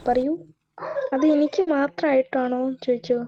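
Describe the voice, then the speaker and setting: Female gamer on voice chat, casual, fairly high, dull lo-fi mic. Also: flat delivery, moderate pace, a crowd in the background. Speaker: fellow player on in-game voice chat. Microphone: game voice chat microphone. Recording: muffled game voice chat, faint noise.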